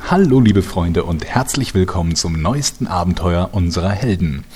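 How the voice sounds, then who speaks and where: A man's voice: deep voice, animated, moderate pace, darkish tone, a little hiss or hum. A man playing the solemn, announcer-like storyteller of a German fantasy parody audio drama, reading scripted narration. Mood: welcoming, grand. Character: grand deep male storyteller introducing heroic adventures.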